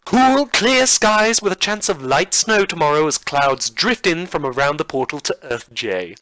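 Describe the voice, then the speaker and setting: A man's voice: fairly high pitch, no room sound, low-quality recording. Man putting on a campy, swooping radio newsreader. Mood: breezy, theatrical. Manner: campy, grandly enunciated, deadpan over grim news. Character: campy, theatrical dystopian radio newsreader with mock-cheerful gravity. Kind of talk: scripted parody news broadcast.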